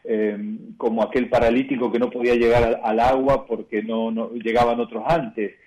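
A man with an Argentine accent, a bishop answering questions in a radio interview, measured and reflective, often pausing and restarting. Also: medium pitch, flat delivery, a little mellow, poor audio. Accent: Argentine Spanish.

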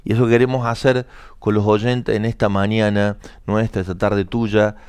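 Argentine male radio host, middle-aged, low and dry, encouraging, helps the guest along. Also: little pitch movement, moderate pace, smooth, dry recording. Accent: Rioplatense Spanish. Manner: warm, encouraging, fills in words for the guest. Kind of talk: live radio interview.

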